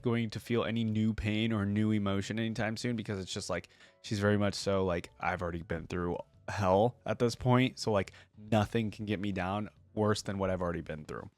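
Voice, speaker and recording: A man's voice: on the low side, no echo. A man giving casual, enthusiastic reaction commentary as a video host, often musing aloud.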